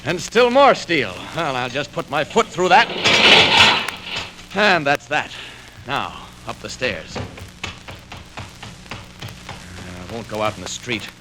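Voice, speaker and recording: A man's voice: medium pitch, big pitch swings, a little slow. An actor in a vintage radio serial playing a confident, playful superhero who declaims his deeds heartily, on a very noisy old record. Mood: musing. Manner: hearty, self-narrating, declamatory. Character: confident, playful superhero, strong grown man. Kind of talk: 1940s radio serial acting.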